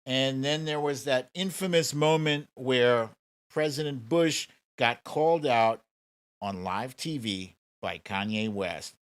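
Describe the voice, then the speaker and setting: A man's voice: medium pitch, slow, clear, resonant tone. An American man hosting a livestream, giving casual, informal political commentary with emphatic repetition. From United States. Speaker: livestream host.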